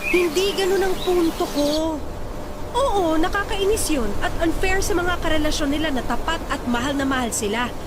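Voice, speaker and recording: Woman playing a high-voiced scolding friend. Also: moderate pace, background noise. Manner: earnest, lecturing. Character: worried, scolding female friend. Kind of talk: audio drama acting. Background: music.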